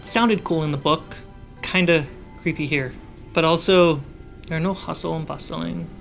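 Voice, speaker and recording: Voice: mid-range voice, fairly even intonation, gravelly, soft background music. A game master narrating a tabletop fantasy game in short, suspenseful, dramatic phrases. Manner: slow, suspenseful scene-setting with short phrases.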